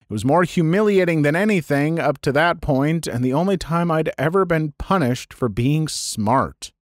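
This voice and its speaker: Expressive man reading stories aloud. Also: on the high side, moderate pace, dry recording, clean studio sound. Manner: expressive, swings between loud and hushed. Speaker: podcast host reading stories. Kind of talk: story reading with commentary.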